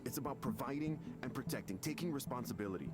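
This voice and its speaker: A man's voice: medium pitch, expressive, quick pace, natural voice. A man playing a defensive, self-absorbed, short-tempered expectant father in a video game, stammering indignantly as he confides. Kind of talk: video game voice acting, confessional monologue. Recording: music behind the voice.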